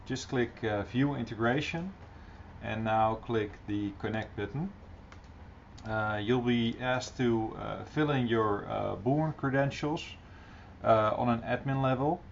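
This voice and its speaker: Man, middle-aged, creaky voice, casual tutorial presenter, informal, muffled computer recording with faint noise. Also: mid-range voice. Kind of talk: casual software walkthrough. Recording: dull, slightly noisy computer recording.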